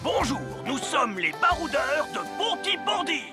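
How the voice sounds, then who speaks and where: A woman's voice: high-pitched, sing-song, measured pace, over music. A woman voicing an eager young child presenter in a children's cartoon. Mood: presenting.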